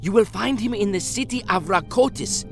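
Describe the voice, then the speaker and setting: An excitable, anxious young man from a fantasy game. His voice is extremely high for a male, earnest in delivery, with music behind it. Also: normal speed, creaky. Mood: pleading. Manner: earnest, stammering when moved. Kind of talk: scripted fantasy game dialogue.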